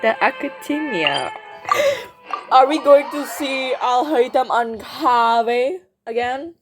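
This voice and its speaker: A woman giving casual gameplay commentary, excitable and thinking aloud, often repeating herself. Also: high-pitched, slow, rough recording. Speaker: game streamer. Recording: game music behind the voice.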